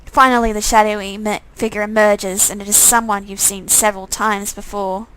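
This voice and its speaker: A woman's voice: medium pitch, level tone, moderate pace, a bit bright, clean tone, rough recording. A woman reading a visual novel game aloud in dramatic narration, breaking off for casual, off-the-cuff comments.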